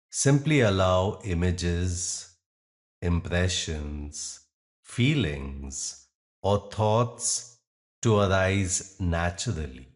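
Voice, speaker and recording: Deep voiced guy doing a guided meditation, really slow and soothing, leaves big gaps between phrases. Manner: soothing, measured, deliberate phrasing with long pauses.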